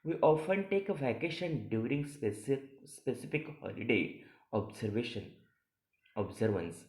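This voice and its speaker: A man's voice: mid-range voice, animated, normal speed, a little mellow. Echoey male teacher reading aloud. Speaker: college teacher. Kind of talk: lesson, reading practice paragraphs aloud. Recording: echoey room sound.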